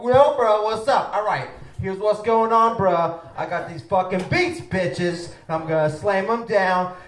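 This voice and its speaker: A man's voice: high-pitched, measured pace, fairly bright tone. A man playing a swaggering, profane suburban bro DJ, talking in slang and trash talk on a live comedy stage. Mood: boastful. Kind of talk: live improv comedy character. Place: live stage before an audience. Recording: echoey stage from far off.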